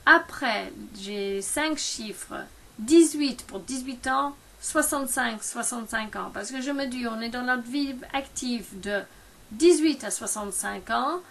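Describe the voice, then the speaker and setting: A woman in her fifties talking casually to camera about her own experience, halting often with fillers and restarts. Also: fairly high pitch, gravelly, a bit bright. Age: 50s. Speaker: product distributor.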